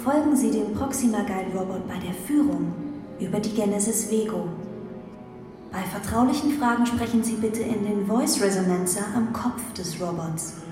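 Casting: actress for stately computer sovereign, commanding, medium pitch, huge echo. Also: female voice, normal speed, natural voice. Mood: stately welcome. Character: regal artificial intelligence ruler of a space ark. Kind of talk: children's radio drama acting. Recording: cavernous reverb, music behind.

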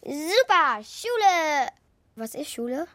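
An actor playing a lively, naive and cheeky little wooden puppet boy in a children's radio play, with a childlike, questioning way of talking. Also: sits high, takes it slowly, no echo, studio quality, exaggerated voice. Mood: pleading, curious.

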